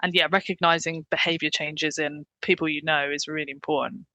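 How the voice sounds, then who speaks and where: A young English woman, a paramedic, talking candidly in an interview, chatty and quick to laugh with lots of fillers. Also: low-pitched, steady tone, pushed and bright, dry recording. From England. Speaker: paramedic guest.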